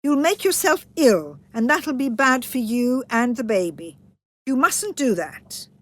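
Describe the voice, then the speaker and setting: Woman acting a stern, commanding old great-aunt, high voice. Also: big pitch swings, a bit bright, exaggerated voice. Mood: firm. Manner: imperious, brisk questioning.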